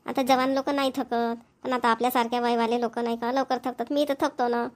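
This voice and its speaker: A woman playing a gentle, talkative elderly village grandmother in a cartoon, with a rural Maharashtrian accent. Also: on the high side, level tone, fairly quick, close to an everyday voice. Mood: homely, tired. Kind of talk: cartoon family dialogue.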